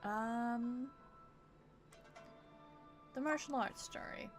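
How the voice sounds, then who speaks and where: Female streamer, non-native English, fairly high voice, playful reader of on-screen text, faint game music. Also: extremely slow. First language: not English. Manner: playful, self-interrupting. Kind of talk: live game commentary and reading dialogue aloud. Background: video game music.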